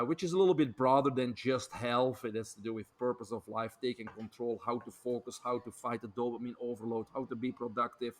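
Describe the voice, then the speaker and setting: A middle-aged man with a Belgian accent talks through his career as a podcast guest, speaking fluently and energetically. His voice sits at an ordinary middle pitch for a man, and he leans on small filler words as he goes. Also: fairly bright tone. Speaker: guest, doctor and author. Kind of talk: podcast interview, storytelling.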